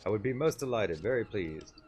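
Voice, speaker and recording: A man's voice: lowish voice, expressive, moderate pace, a little mellow, faint music behind, lightly acted. A man voicing a small, eager catfish creature from a game, fussy, formal and wordy. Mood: polite, delighted. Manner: formal, repeats itself in synonyms.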